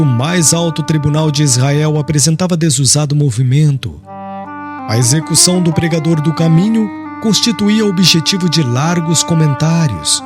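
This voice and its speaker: A man reading a literary audiobook narration in a solemn, formal, expressive manner. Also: a little high.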